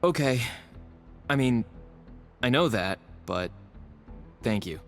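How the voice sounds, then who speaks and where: An earnest young clone agent from a video game,. He talks slowly and haltingly, his pitch rising and falling. A long reverb trails after him. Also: animated, over music. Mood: unsure. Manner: hesitant, halting.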